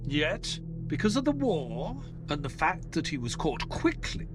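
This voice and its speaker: Earnest sidekick and storyteller played by a man, higher-pitched, very expressive, for a detective audio drama. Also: over music. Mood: grave. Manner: measured storytelling, hesitant and flustered in dialogue. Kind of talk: audio play acting with scripted first-person narration.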